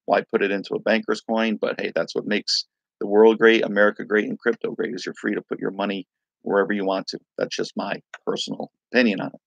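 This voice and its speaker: Raspy-voiced man chatting on a livestream. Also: fairly low pitch, flat delivery, fast, no room sound, dull audio. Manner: rambling, loose, greets viewers by name. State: raspy voice from singing. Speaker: crypto livestream host. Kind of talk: casual live-stream chat.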